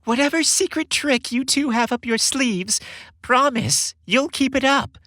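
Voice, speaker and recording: A motherly older woman from a storybook, played by a male narrator in a raised voice. She is jubilant and gushing, with a high pitch that swoops around excitedly. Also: a little slow, dry recording, clean studio sound, heavily acted. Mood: jubilant, delighted. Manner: gushing, exclamatory.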